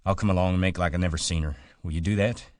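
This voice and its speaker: A man's voice: sits low, normal speed, darkish tone, no room sound, lightly acted. A man doing a tense, urgent ranch worker. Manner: urgent, clipped, rough speech. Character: worried, tough male ranch worker.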